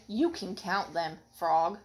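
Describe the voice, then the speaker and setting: A woman's voice: lowish voice, slow. A lazy, grumbling male toad from a storybook, put on by a woman reader. He sounds sleepy and reluctant to do anything. It is a home recording with some noise behind the voice.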